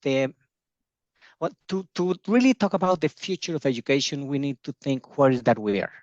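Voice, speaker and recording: A middle-aged man originally from Colombia, an education agency founder talking in an interview, pausing often with fillers and restarting his sentences. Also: mid-range voice, animated, dry recording, highs cut off. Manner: hesitant, many fillers and restarts. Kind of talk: casual video-call interview.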